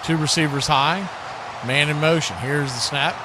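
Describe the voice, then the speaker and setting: Male, higher-pitched voice, live football radio commentary, play-by-play announcer, noisy background. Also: steady tone, normal speed. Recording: clearly noisy background hiss and ambience.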